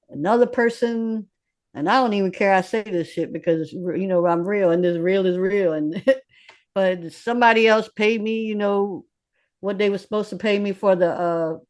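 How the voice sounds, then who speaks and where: A woman's voice: mid-range voice, unhurried. A woman in her fifties, a recording artist, talking bluntly and with lots of swearing as a guest in a casual interview. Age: 50s. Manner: blunt, swearing, streetwise and animated. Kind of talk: candid casual interview talk.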